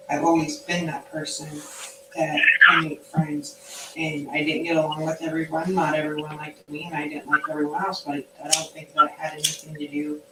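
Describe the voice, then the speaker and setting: A plain-spoken woman talking slowly in a flat, even voice. She is well off the mic in an echoey room. Loud noise sits under her speech. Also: deep voice, distant mic. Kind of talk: casual chat.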